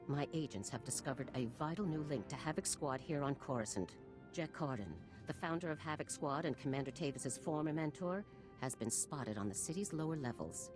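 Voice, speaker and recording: An actress voicing a stern older female general in a video game, with a clipped, authoritative, formal manner. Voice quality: low-pitched.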